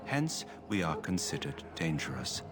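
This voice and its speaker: Deep-voiced, placid, formal mage. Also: male voice, very animated, music in the background, heavily acted. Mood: placid, detached. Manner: measured, formal, detached. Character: emotionless adult male mage enchanter, placid and formal. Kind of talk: video game dialogue acting.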